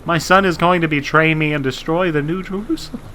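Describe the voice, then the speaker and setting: A man's voice: fairly high pitch, brisk, sharp tone, a little hiss or hum, pitched above the performer's own voice. A man playing a distraught, devout president in an audio drama, stammering and quavering with melodramatic fervour. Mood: anguished. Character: distraught, fanatically devout male president, easily overwhelmed. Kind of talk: melodramatic radio drama acting.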